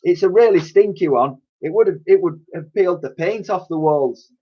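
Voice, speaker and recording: A man's voice: high-pitched, normal speed, voice pushed up. A man voicing a dotty, kindly cleaning lady with a chatty, colloquial manner in a children's storybook. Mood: flustered. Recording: dull lo-fi recording.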